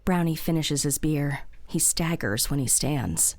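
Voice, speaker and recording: A woman reading scripted audio drama narration in a measured, matter-of-fact way. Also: deep voice, expressive, heavy background noise.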